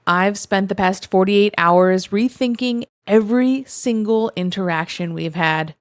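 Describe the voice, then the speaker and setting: A woman reading first-person confession stories aloud in an expressive, conversational storytelling manner. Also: mid-range voice, a little slow. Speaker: story reader, host of a show reading online confessions.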